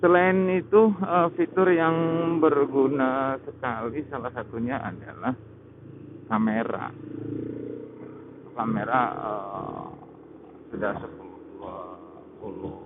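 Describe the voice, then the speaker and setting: An Indonesian man talks casually as a motovlogger, pausing and searching for words. His voice is medium in pitch and comes through a thin, phone-like helmet mic. Clear road and vehicle noise runs behind him. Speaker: motovlogger reviewing gear. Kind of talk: unscripted spoken review while riding. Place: outdoors on a motorcycle in traffic. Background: loud vehicle and road noise.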